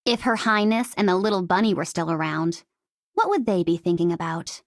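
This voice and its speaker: A woman's voice: fairly low pitch, lively intonation, fairly quick, vocal fry, dry recording, clean studio sound. A woman voicing a world-weary, coolly commanding fighter in a video game. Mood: cool, wry. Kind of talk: video game character voice acting.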